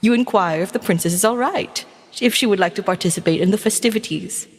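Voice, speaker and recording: A woman narrates a scripted fantasy story in a measured storybook manner. Her voice sits at a middle pitch and carries a long, hall-like reverb. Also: husky. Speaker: VTuber storyteller.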